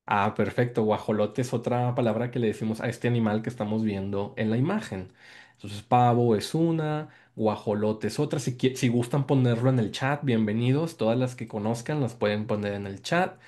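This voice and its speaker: A Mexican-accented man presenting research to an online group. His voice is dark and mellow at an ordinary pitch. He explains step by step, repeats key words and asks for agreement as he goes. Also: moderate pace. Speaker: guest lecturer presenting research. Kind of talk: academic presentation on a video call. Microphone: video call microphone.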